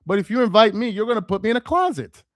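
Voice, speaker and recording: Young man, livestream host, reacting to comments, lively and exasperated, slightly higher voice, dry close sound. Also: fast, dry recording. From Nigerian heritage. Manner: animated, laughing, exasperated, talks to chat directly. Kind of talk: casual livestream chat.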